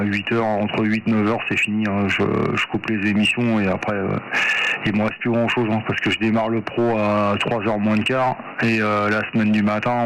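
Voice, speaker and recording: A man speaking as an amateur radio operator in a casual, hesitant, rambling chat over a two-way radio. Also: on the low side. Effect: two-way radio transmission. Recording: noisy radio link with clicks.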